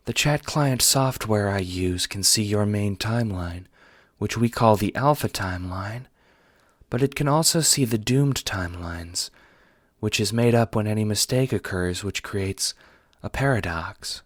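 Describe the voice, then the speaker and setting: A man voicing a blunt female alien troll time traveler in an audio drama, speaking formally and with measured care. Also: lowish voice, dry recording, clean studio sound. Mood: grave, explanatory. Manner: formal, measured, few contractions.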